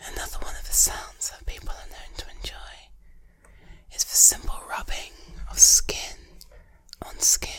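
A woman whispering in a breathy, deliberate way, speaking intimately to the listener as an ASMR host, in short phrases with pauses. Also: bright, forceful tone.

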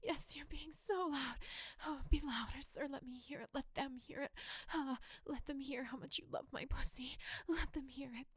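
A woman performing an adult erotic audio roleplay in a breathy, often whispered voice, submissive and pleading. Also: high-pitched, moderate pace, no room sound, studio quality.

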